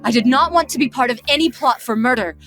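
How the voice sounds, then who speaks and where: High-voiced motherly goat queen played by a woman. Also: fast talker, a bit bright, faint music behind. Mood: regretful. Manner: formal, careful, heartfelt diction. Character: motherly goat monster queen, guilt-ridden, proper, tender. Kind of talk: dramatic comic dub acting.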